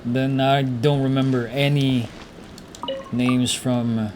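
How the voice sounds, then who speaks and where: A man's voice: medium pitch, little pitch movement, slow. A man streaming a video game with casual, slangy commentary, musing aloud as he plays. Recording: noticeable background noise, game sound. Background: video game audio.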